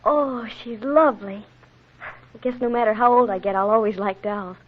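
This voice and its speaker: A woman's voice: on the high side, moderate pace, close to an everyday voice. A woman playing a sweet, polite country girl in a 1940s radio drama. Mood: delighted. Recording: tinny, narrow old radio recording.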